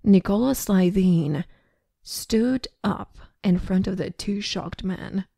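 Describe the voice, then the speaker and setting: A woman's voice: medium pitch, slow pace, creaky, warm tone, no room sound. A woman reading scripted narration for an audio drama.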